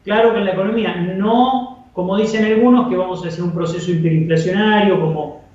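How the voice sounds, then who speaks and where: High-voiced Argentine man, very echoey line. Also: steady tone, a little fast, a little mellow. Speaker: economics analyst, guest. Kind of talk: economic commentary in an interview. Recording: echoey, distant, muffled remote link.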